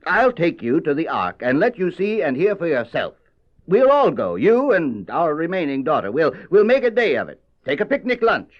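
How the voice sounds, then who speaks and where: A domineering, middle-aged father in an old radio play. His voice is high and very animated, blustering and scornful, tripping over words when flustered. The sound is dull and lo-fi, like an old cassette. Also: moderate pace. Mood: jovial. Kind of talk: old-fashioned radio drama acting.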